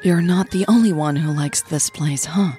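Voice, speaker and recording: A woman's voice: sits low, moderate pace, warm tone, faint music behind, close to an everyday voice. A woman playing a thoughtful, candid young woman in a romantic audio drama, speaking in a hesitant, sincere way. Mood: casual, light.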